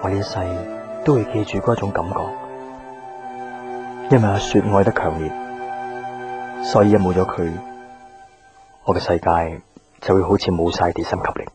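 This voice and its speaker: An actor plays a lovesick young Hong Kong man with a low voice. He broods earnestly in a Cantonese radio drama monologue, over loud new-age music. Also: fairly even intonation, normal speed, pauses often, lightly acted. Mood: melancholy. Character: heartsick, brooding young man still in love.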